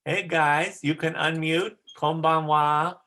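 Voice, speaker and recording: A woman's voice: low voice, steady tone, slow pace, a bit bright. A woman teacher chatting casually as she hosts an online class on a video call, encouraging and often repeating back what others say.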